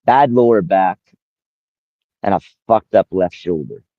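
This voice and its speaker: A man's voice: low voice, a little slow, dry recording, highs cut off. A man in his forties rambling casually on a livestream, repeating himself and talking straight to one viewer. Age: 40s.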